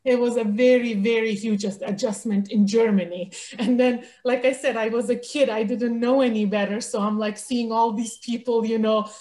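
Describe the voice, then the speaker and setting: A woman who grew up in Iran, a guest author on an interview podcast, telling stories in an animated way with many "you know"s. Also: fairly high pitch, normal speed, hardly any pauses. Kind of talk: casual interview chat. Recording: echoey room.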